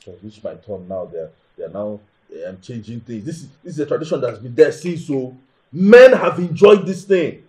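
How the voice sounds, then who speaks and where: A male actor plays an aggrieved Nigerian husband in a naturalistic film drama. His medium-pitched voice moves up and down dramatically. He is indignant and entitled, hammering his points with repeated rhetorical questions. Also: very animated, moderate pace, a little mellow, close to an everyday voice, low-quality recording. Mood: sullen, aggrieved. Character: aggrieved, hot-tempered, entitled husband bound to tradition.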